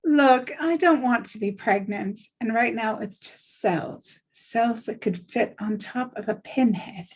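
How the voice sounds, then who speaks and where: A woman's voice: on the high side, unhurried, hardly any pauses, husky. A woman playing an earnest, conflicted young woman in a dramatic script table read, speaking in a heartfelt, plain-spoken way. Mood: pained, earnest.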